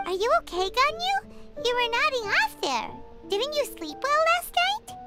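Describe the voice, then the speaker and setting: A woman voicing a tiny, chirpy childlike fairy companion in an anime video game, with a squeaky voice and perky manner. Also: very animated, normal speed. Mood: curious. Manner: speaks of herself in third person, perky. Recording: loud game music underneath.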